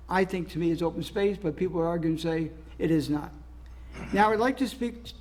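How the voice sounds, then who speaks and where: A man, the town supervisor, chairing a public meeting at a microphone, speaking in a plain-spoken, slightly halting way. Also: high-pitched, a little mellow, rough recording. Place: town hall meeting room.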